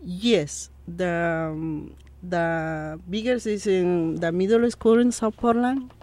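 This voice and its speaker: A woman from Peru in her thirties with a Peruvian Spanish accent, a guest in an interview, speaking halting English with many fillers. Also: on the low side, mellow, dry recording. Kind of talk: interview conversation.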